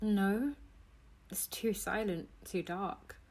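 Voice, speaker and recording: A woman playing a desperate, defiant young time traveller in an audio drama, speaking emotionally and haltingly. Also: medium pitch, darkish tone. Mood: subdued, guarded.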